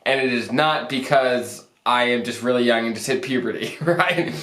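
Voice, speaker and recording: A young financial planner hosting his channel in an upbeat, conversational way, his voice deepened by a recent cold. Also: moderate pace, a bit bright. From Arizona, US. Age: young adult. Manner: upbeat, conversational, self-joking. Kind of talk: casual advice talk to camera. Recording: echoey room.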